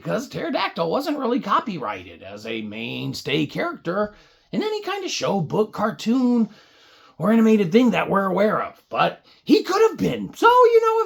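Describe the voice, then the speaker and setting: A woman's voice: mid-range voice, creaky, breathy edge. A woman improvising a comic children's puppet-show story, rambling along with wry asides.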